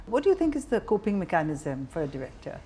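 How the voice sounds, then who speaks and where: A woman's voice: mid-range voice, very animated, quick pace, mellow, a little hiss or hum. A woman with an Indian accent, working as a journalist and asking questions in a conversational interview.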